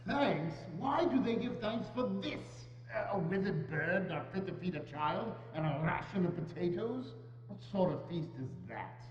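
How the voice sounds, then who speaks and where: A man's voice: high-pitched, animated, unhurried. A man playing a grumpy, shaken old miser with a hesitant, querulous manner in a dramatic reading. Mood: scornful. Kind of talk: dramatic reading of a stage adaptation. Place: indoors, church. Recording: echoey church hall, dull sound, faint hum.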